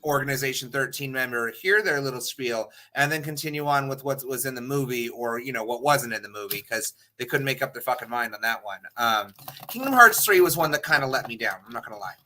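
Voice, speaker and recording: A man with a strikingly bright, sharp voice at an ordinary male pitch, talking as a show panelist. He rambles through his opinions casually and emphatically, swearing freely as he goes. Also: brisk.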